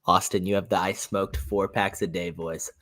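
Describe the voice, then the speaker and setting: A young man with a raspy voice co-hosting a casual sports betting livestream, laid-back and agreeable. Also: deep voice, level tone, moderate pace, bright, forceful tone. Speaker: sports betting stream co-host.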